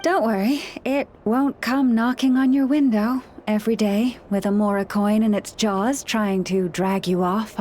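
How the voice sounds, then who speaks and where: A woman voicing a calm, composed young woman who guides others with the help of animal helpers, in a video game cutscene. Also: medium pitch, a little hiss or hum, close to an everyday voice. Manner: calm and reassuring.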